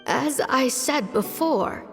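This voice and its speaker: An actress playing an ailing, stubborn old woman, a witch doctor and mentor, speaking gravely and wearily in a video game. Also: high voice, animated, takes it slowly, faint music behind, exaggerated voice. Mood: grave, resigned. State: sickly, weary, sighing. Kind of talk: dramatic video game acting. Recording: long echoing reverb.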